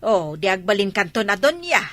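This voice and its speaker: Woman playing gossipy snarky warehouse worker, sharp voice, radio drama. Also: medium pitch, big pitch swings, normal speed, no room sound. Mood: mocking. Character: gossipy, mocking female fruit-warehouse worker. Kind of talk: dialogue in an Ilocano audio drama.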